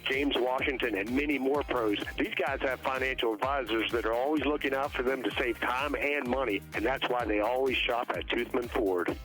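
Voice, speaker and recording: An American man delivering a car dealer's radio advertisement as a sales pitch. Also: normal speed, hardly any pauses, fairly bright tone, poor audio. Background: music bed.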